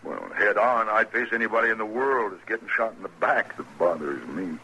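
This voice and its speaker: An actor playing a weary, gruff former lawman in a 1950s radio western, talking in plain cowboy speech. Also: on the low side, expressive, brisk, sharp tone, a little hiss or hum, rough recording. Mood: uneasy. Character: weary, uneasy ex-lawman, old friend of the marshal.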